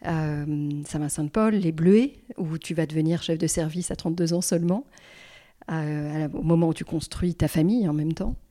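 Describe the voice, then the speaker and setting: A woman hosts an interview podcast, asking admiring questions in a slightly low, mellow voice. Her tone stays relaxed and soft-edged. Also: normal speed. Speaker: podcast host.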